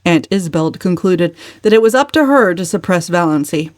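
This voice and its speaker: A woman's voice: lowish voice, animated, fairly quick, no echo, clean studio sound. A woman giving a dramatic, scripted reading of a novel as its narrator. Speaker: audiobook narrator.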